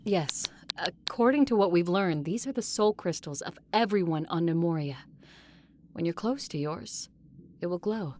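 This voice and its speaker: An actress playing a proud, weary vampire ruler who narrates her story gravely and with measured care in an audio drama. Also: lowish voice, expressive, a little mellow, a little hiss or hum, lightly acted. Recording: music under the voice. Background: music.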